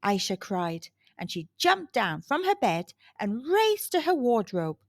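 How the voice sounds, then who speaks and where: A woman reads a children's storybook in a high, very animated voice. She takes her time and leans on key words dramatically, with a close, dry sound. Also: no room sound. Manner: dramatic, deliberate emphasis. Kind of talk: scripted storybook narration for kids.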